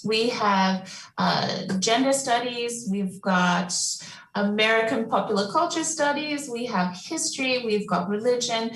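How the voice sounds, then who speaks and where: A woman's voice: a little high, unhurried, a bit bright. A woman advisor answering a student question on a panel in an upbeat, friendly, explanatory way. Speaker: academic advisor. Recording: echoey room, distant mic.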